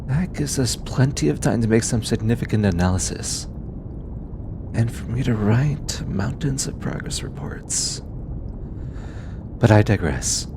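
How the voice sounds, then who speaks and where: A man playing a gentle, earnest alien scientist in an intimate audio drama, bookish and easily flustered, with a hesitant, softly stammering delivery. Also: a little slow, long pauses. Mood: earnest, explanatory. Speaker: voice actor. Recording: steady low rumbling background noise.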